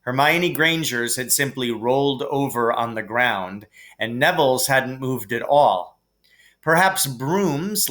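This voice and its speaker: Guy reading a kids book out loud, slow pace, bright forceful voice, expressive storytelling. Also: medium pitch. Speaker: teacher reading aloud.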